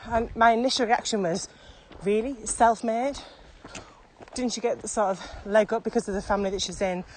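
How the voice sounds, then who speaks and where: A woman's voice: fairly high pitch, steady tone, normal speed. A woman co-hosting a casual, reflective podcast chat, asking questions conversationally and slightly out of breath as she walks. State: slightly out of breath from walking uphill. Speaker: podcast co-host. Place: outdoors, walking through a pine grove in Morocco. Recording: clearly noisy outdoor walking recording.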